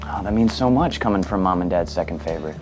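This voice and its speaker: Actor as a low-voiced sarcastic brother over music. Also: male voice, expressive, fast. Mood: wry teasing. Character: teasing brother recovering from cancer, sarcastic. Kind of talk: radio ad dialogue acting. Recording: music bed behind the voice.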